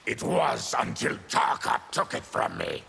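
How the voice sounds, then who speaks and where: An actor playing an afflicted, embittered mutant warrior in a video game, with a gravelly growl and grim, deliberate, menacing delivery. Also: male voice, mid-range voice, very animated, heavily acted. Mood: aggrieved. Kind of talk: video game character dialogue. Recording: clear background noise with clicks.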